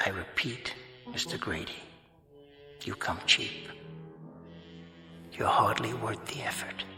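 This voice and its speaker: An actor playing a calm, sardonic, otherworldly judge in a radio drama, speaking in measured, formal, mocking phrases through heavy reverb. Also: male voice, on the low side, exaggerated voice. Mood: quiet contempt. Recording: long echoing reverb, music behind.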